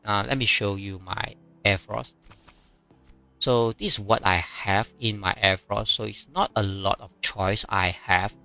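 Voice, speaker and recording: A man whose first language is Chinese, streaming a strategy game and chatting casually, with many fillers and pauses as he reads viewer comments. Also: fairly low pitch, fairly even intonation, vocal fry, bright, forceful tone, soft background music. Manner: halting, many fillers, reads chat aloud.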